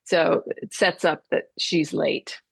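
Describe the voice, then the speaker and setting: Low-pitched woman in a dry studio interview. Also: fairly even intonation, slow pace, vocal fry, husky, no room sound. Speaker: interview guest, theatre artistic director. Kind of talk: conversational radio interview.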